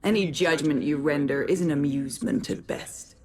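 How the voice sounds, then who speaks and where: A woman's voice: sits low, moderate pace, darkish tone, slight background noise. A woman playing a malevolent, godlike Sith tyrant possessing a woman's body in a video game, imperious and menacing. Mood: contemptuous. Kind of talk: video game villain acting.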